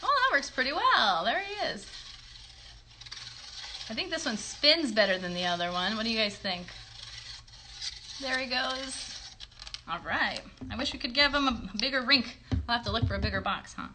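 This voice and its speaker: A woman's voice: fairly high pitch, expressive, moderate pace, long pauses, sharp tone, slight background noise, poor audio. A woman in her thirties casually hosting a children's craft demonstration, encouraging young viewers with questions. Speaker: children's puppet show host. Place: bedroom at home. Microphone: phone at about arm's length.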